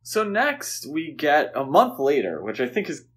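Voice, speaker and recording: A man's voice: a little high, wildly swooping pitch, unhurried. A man co-hosting a podcast, discussing films in a casual, conversational and opinionated way. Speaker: podcast co-host.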